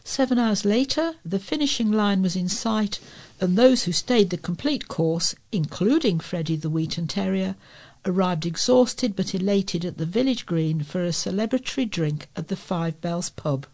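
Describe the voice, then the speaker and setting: A woman's voice: medium pitch, expressive, normal speed, darkish tone. A woman with a British accent reading letters and articles aloud as a volunteer newsreader. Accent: British English.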